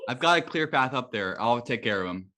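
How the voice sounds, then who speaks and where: A man's voice: mid-range voice, animated, a little fast, pushed and bright. A man playing an earnest, brainy young rookie superhero with a hesitant manner, at a script table read. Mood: determined, strained.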